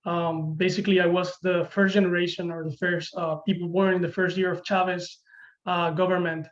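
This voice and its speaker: A young man with a Venezuelan accent and Spanish as his first language answers interview questions in a high-pitched voice. He speaks haltingly, repeating words as he searches for the next one. The audio is dull and lo-fi. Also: little pitch movement. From Venezuela, Caracas. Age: early 20s. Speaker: university student, interview guest.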